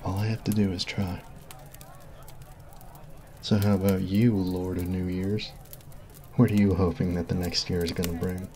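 A man's voice: low-pitched, level tone, moderate pace, pauses often, darkish tone, a little hiss or hum, natural voice. A man voicing a sweet, goofy college jock in an ASMR roleplay, earnest and flustered, close on a binaural mic. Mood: teasing. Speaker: voice actor.

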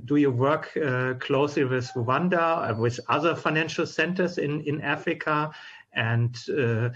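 A man moderating a panel discussion, posing questions briskly and politely over a video call. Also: medium pitch, breathy edge. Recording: dull video call audio.

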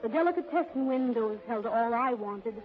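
Brief: woman playing wistful young ingénue secretary, high voice, old-time radio drama, dull recording. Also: lively intonation, normal speed, lightly acted. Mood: dreamy, wistful. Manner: wistful, theatrical. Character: young woman, dreamy, romantic, innocent secretary. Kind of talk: 1930s radio drama acting. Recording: muffled vintage radio recording, faint music.

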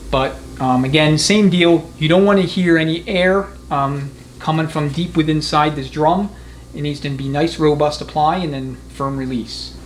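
A man giving an unscripted, hands-on tutorial as a mechanic, explaining methodically in a conversational way. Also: on the high side. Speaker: transmission mechanic giving a demonstration. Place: indoor transmission repair workshop. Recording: clear background noise of a workshop.

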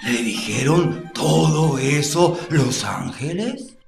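An actor playing a combative, sarcastic prosecutor in a radio courtroom drama, badgering and declamatory. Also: male voice, fairly high pitch, animated, slow, gravelly, heavily acted. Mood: sarcastic, exasperated. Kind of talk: theatrical radio drama acting. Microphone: studio microphone on a stand. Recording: roomy sound with music behind.

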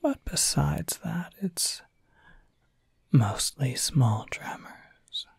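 A man's voice: a little high, animated, drawn-out words, dry recording, exaggerated voice. A man playing a suave, teasing incubus demon in a whispered ASMR roleplay, sly and intimate, close to the microphone. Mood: musing. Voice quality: breathy, soft whisper.

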